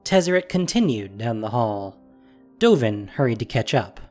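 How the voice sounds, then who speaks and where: Animated story narrator with wide-ranging intonation. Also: medium pitch, normal speed, soft background music.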